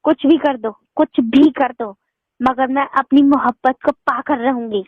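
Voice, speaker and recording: High-voiced insistent woman on a phone call. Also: steady tone, measured pace, dry recording. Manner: insistent, defiant, pleading. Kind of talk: emotional phone conversation. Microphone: telephone. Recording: muffled lo-fi phone call recording.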